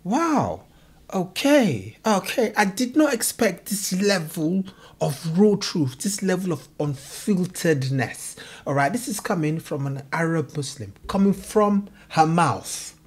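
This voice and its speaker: Low, husky woman reacting emphatically. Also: sing-song, measured pace. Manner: emphatic, repeats phrases for effect. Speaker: reaction video host. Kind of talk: reaction commentary.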